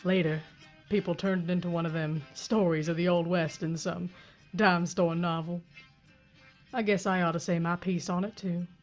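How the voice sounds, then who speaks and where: A woman's voice: fairly low pitch, fairly even intonation, moderate pace, dull audio, soft background music. Woman narrating a tale. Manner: reflective, storytelling. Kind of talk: scripted narration.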